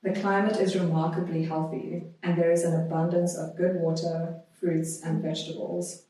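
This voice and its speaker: A woman guest on a podcast, talking conversationally and reading aloud in a measured, deliberate way. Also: fairly low pitch, flat delivery, dark tone. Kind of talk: conversational interview, reading a historical notice aloud. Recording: very echoey room, distant microphone.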